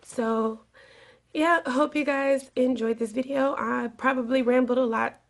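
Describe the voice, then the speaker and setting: Raspy woman with a cold. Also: high-pitched, steady tone, a little slow. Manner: rambling, earnest, with many fillers. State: getting over a cold. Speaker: flight attendant vlogger. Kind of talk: casual advice vlog.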